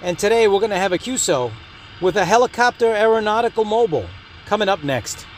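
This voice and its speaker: A man's voice: sits high, lively intonation, fast talker, slight background noise. A man hosting an amateur radio video channel, narrating in an upbeat hobby vlog style.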